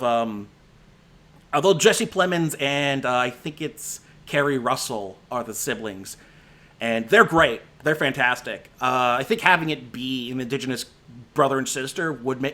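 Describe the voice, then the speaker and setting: A man's voice: mid-range voice, pushed and bright. A man with a voice that is giving out, running through his favorite movies of the year in a casual, rambling stream, full of restarts and fillers. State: voice giving out, slightly hoarse. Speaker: movie critic.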